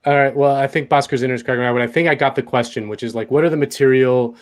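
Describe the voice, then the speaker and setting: A man speaking as a guest on a video call interview, his voice at an ordinary middle pitch. He works through his answer out loud, restarting phrases and leaning on fillers. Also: steady tone, rapid-fire. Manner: thinks aloud, rephrasing with fillers. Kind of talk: casual interview conversation.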